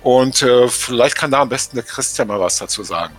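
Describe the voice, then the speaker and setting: A man's voice: medium pitch, brisk, faint music behind. A man hosting a podcast, moderating an interview with guests. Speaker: podcast host.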